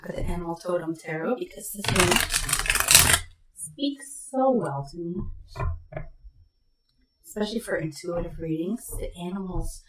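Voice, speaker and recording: A woman's voice: lowish voice, a little slow, pauses often, far from the mic, very noisy. A woman doing a casual tarot reading on camera, chatty and meandering, with a slight leftover cough. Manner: chatty, meandering, soft-spoken asides. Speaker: tarot reader. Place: home room with webcam. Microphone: new desk microphone. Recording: echoey room, voice a bit off-mic.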